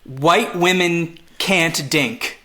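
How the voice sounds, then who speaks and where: A man's voice: a little high, extremely slow, pushed and bright. A man from Montreal chatting casually and jokingly as a podcast co-host, swearing now and then. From Montreal, Canada. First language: English. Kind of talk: casual comedic podcast chat.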